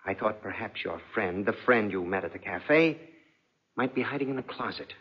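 Jealous nobleman, 1940s radio drama: icy courtesy, mock tenderness, wide-swinging pitch, muffled vintage sound. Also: animated, slightly rough. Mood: silky suspicion. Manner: suave, mock-affectionate, deliberate. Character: menacing, coldly polite jealous aristocratic husband. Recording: dull, band-limited 1940s radio recording.

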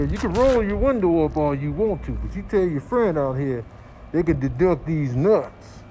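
An indignant bison played by a man hands out slangy threats in a comedy animal dub. The voice runs high and lively, on noisy audio.

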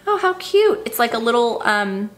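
A woman's voice: fairly high pitch, big pitch swings, moderate pace, a bit bright. Chatty woman gushing in her kitchen. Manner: upbeat, chatty, gushing over items. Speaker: vlogger. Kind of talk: casual unboxing chat. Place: home kitchen, indoors.

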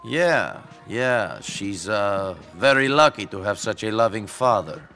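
An actor playing a wry, unflappable immigrant hitman, terse and politely deadpan, in a video game. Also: lowish voice, slow, faint music behind, heavily acted. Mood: dry politeness.

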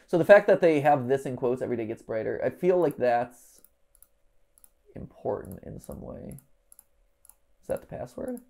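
A man in his thirties talks through a puzzle live in a casual way. His mid-pitched voice has plenty of creak. He mutters unfinished thoughts to himself and leans into the bits he reads out. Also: animated, moderate pace, long pauses, warm tone. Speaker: livestream host. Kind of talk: casual live puzzle-solving commentary, thinking aloud. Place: indoor room, livestream set.

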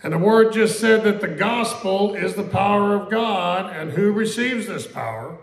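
Pastor, male, conversational sermon, gravelly, echoey sanctuary. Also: sits high, expressive. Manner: conversational preaching, repeats questions, quotes scripture slowly. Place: church sanctuary. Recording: echoey church room.

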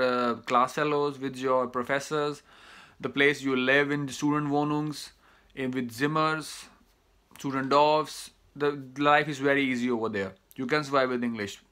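A man's voice: a little high, pushed and bright. A man in his thirties with a Pakistani accent, a native Urdu speaker, giving advice to students in a casual talk to camera, hesitant, with many "uh" fillers and restarts. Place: small indoor room, plain wall.

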